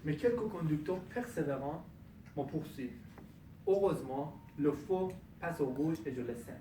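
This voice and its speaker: A man's voice: on the high side, lively intonation, dark tone, slight background noise. Man telling a comic story in a hall. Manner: comic storytelling with punchline pauses. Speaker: contest speaker. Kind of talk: humorous speech. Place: club meeting room. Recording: echoey room, from far off. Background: audience laughter.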